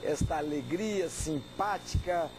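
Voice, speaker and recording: A man's voice: a little high, slow pace, noisy background. A man hosting a sports talk show, teasing his guest with playful banter. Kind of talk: relaxed studio interview.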